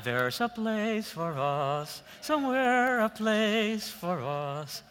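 A man with a Puerto Rican Spanish accent, a guest preacher delivering a sermon in measured phrases with pauses that trail off softly. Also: high voice, very animated, smooth. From Puerto Rico. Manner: slow, measured preaching with dramatic pauses and soft trailing endings. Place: church sanctuary. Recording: long church reverb.

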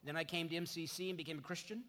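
A man's voice: fairly high pitch, fairly even intonation, very fast, big-room sound, very noisy. A middle-aged Canadian man preaching as a guest pastor, telling self-deprecating, chatty stories to his congregation. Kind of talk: sermon with humorous stories.

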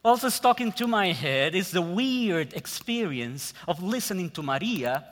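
A man with a Puerto Rican Spanish accent, a guest preacher delivering a sermon in measured phrases with pauses that trail off softly. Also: high-pitched, big pitch swings. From Puerto Rico. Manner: slow, measured preaching with dramatic pauses and soft trailing endings. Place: church sanctuary. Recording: long church reverb.

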